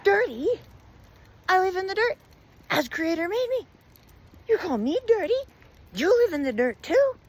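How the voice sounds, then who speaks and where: A small storybook rat voiced by a woman storyteller speaks up in a high, swooping voice. He is wounded and indignant, protesting with emphasis as he pleads his case to humans. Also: wildly swooping pitch, unhurried, slightly rough, heavily acted. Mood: offended, indignant. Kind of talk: oral storytelling.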